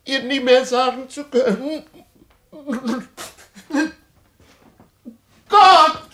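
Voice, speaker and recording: A man's voice: extremely high pitch, unhurried, bright, forceful tone, heavily acted. An actor playing an anguished, self-pitying middle-aged father with theatrical declamation in a 1950s radio drama. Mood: grief-stricken. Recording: faintly noisy 1950s radio recording.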